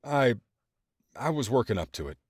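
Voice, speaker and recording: A man's voice: medium pitch, sing-song, normal speed, no echo, clean studio sound, voice pushed up. A man voicing a shy, awkward young man embarrassed by his family. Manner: pleading, embarrassed.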